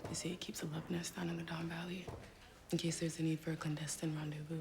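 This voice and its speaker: An actress playing a gossipy, eager bank secretary, prim and confiding, in a period drama. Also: female voice, low-pitched, little pitch movement, fast talker, vocal fry. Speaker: actor.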